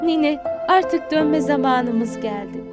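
A woman voicing a caring mother hen in a children's cartoon, speaking gently and guiding the young ones. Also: high-pitched, expressive, takes it slowly, loud music behind the voice, pitched above the performer's own voice. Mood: gentle.

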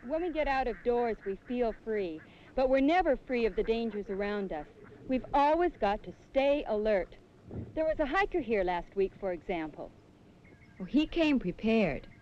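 An actress playing a reassuring superheroine who teaches children safety in an earnest, measured, didactic way in a 1970s educational film. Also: female voice, on the high side, expressive, dark tone, natural voice. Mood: reassuring, cautionary. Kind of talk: scripted 1970s educational film acting and narration. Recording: muffled, noisy 1970s educational film soundtrack.

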